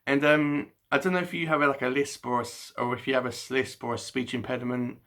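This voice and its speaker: A man chatting casually to camera about books at home in the evening, halting with frequent ums, restarts and a slight stutter. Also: medium pitch, little pitch movement, bright, forceful tone. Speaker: book vlogger. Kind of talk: casual unscripted chat to camera.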